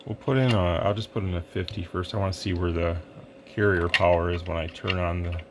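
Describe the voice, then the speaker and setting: A man's voice: low voice, fairly even intonation, brisk, background noise. A man casually talking through a hands-on radio equipment demo at his workbench, rambling and thinking aloud as he goes.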